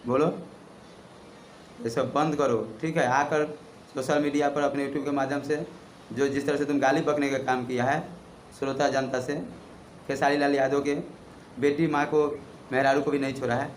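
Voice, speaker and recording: A man's voice: medium pitch, little pitch movement, normal speed, long pauses. Bihari man delivering scolding commentary, echoey room. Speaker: YouTube channel host. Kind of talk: opinion commentary to camera, direct address to viewers. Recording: roomy echo, faint background noise.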